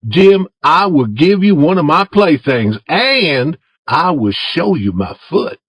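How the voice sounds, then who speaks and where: A man's voice: on the high side, big pitch swings, takes it slowly, fairly bright tone, dry recording, pitched above the performer's own voice, heavily acted. A crafty young boy talks in a raised, sing-song voice full of wheedling charm, as a man reading the story puts him on. The sound is close and dry, with no room around it. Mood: coaxing. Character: clever, coaxing young boy Tom.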